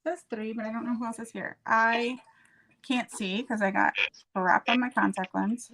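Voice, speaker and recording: A woman's voice: a little high, steady tone, normal speed, a bit bright, poor audio. A woman chatting casually, friendly and easily amused. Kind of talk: casual chat.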